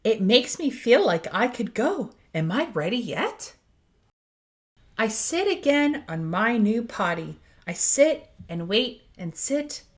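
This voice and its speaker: A woman voicing an eager, proud toddler from a storybook, with simple, expressive, sing-song delivery. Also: a little high, takes it slowly. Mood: excited, proud. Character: eager, proud toddler learning to use the potty.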